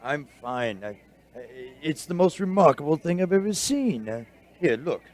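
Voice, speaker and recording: A man playing a bookish, excitable doctor in a radio drama, halting and stammering as he explains things. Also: a little high. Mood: excited, amazed. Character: bookish, excitable male doctor friend, an eager researcher. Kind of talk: audio drama dialogue.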